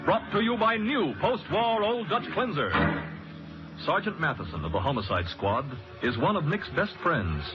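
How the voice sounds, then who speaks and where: A man's voice: high voice, big pitch swings, moderate pace. A man with an American accent announcing a radio mystery program in 1940s style. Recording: muffled old radio recording with music. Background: music.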